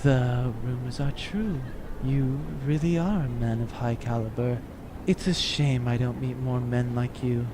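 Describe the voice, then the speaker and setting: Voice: medium pitch, a little slow, close to an everyday voice. A performer playing an easily impressed female buyer in a comic audio adventure, gushing and admiring. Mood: flattering. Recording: clear vehicle-like background noise.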